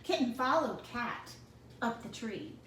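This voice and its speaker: A woman with a fairly high voice reads a children's story slowly and deliberately, breaking off to put questions to her young listeners. The recording is roomy and a little distant from the mic, with noticeable noise behind her. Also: lively intonation, a bit bright. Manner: slow, deliberate phrasing for children. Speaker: teacher reading a picture book to children. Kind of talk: read-aloud storytelling with asides to young listeners.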